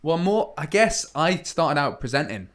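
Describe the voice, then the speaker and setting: A man's voice: fairly high pitch, big pitch swings, normal speed, fairly bright tone. A man turning thirty, a first-time podcast guest, chatty and teasing, with plenty of "like" in his speech. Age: 30s. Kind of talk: casual chat.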